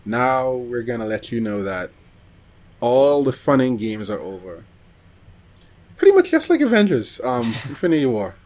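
A man co-hosting a podcast in casual chat, rambling and pausing as he recalls details. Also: mid-range voice, very animated, darkish tone. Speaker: podcast co-host. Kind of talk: casual chat about anime.

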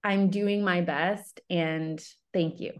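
Earnest lowish-voiced woman love coach. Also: fairly even intonation, slow pace. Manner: earnest, enthusiastic, rambling. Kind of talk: interview guest, casual conversation.

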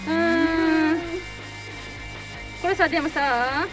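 A woman hosting a radio show with lively, playful banter over a card game, often repeating her words. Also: extremely high pitch, drawn-out words, low-quality recording. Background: loud background music.

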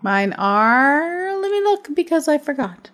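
A woman's voice: high voice, sing-song, measured pace, a bit bright. A woman co-hosting a casual film review podcast, teasing her co-host and laughing often.

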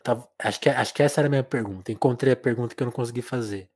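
A man's voice: mid-range voice, very fast, darkish tone. A man interviewing a musician, asking long rambling questions that he keeps rephrasing.

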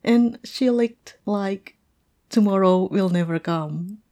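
A woman playing a playful, chatty teacher telling a colleague a story in an animated, amused, conversational audio roleplay. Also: medium pitch, slow pace, close to an everyday voice. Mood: gleeful, amused. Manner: animated, amused, punctuated with chuckles.

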